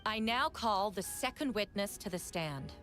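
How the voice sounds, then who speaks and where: An actress playing a stern female prosecutor in a video game courtroom, speaking in a formal, measured, deliberate way. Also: mid-range voice, expressive, a bit bright, faint music behind. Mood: firm, measured.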